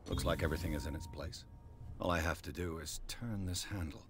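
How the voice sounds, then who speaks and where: A grim low-voiced detective. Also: male voice, a little fast, noisy background. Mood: matter-of-fact. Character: weary male private investigator, grim and low-voiced. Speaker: game protagonist. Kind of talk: voice acting for a horror game.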